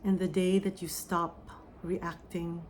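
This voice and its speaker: A Filipino-accented woman speaks as a psychic medium, her voice sitting a little low. She talks very slowly and softly, leaving long meditative pauses as she reads cards and channels a message. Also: little pitch movement, slight background noise. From Philippines. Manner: slow, soft, meditative delivery with pauses. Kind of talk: oracle reading and channeled message.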